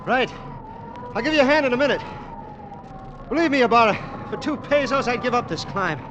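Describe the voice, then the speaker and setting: An actor playing a sceptical, wry mountain climber in a conversational 1940s radio drama style. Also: male voice, extremely high pitch, lively intonation, fairly quick, highs cut off. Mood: wry, curious. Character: sceptical, wryly joking mountain climber on an expedition. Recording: noisy vintage radio recording, outdoor ambience.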